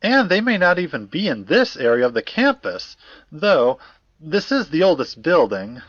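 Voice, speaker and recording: A fussy academic gentleman acted by a man speaks with ornate, courtly politeness and very animated pitch. He sounds slightly frayed, in an audio drama recorded dry and a little muffled. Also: moderate pace, no room sound, full character voice. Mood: puzzled, precise. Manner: formal, wordy, old-fashioned politeness.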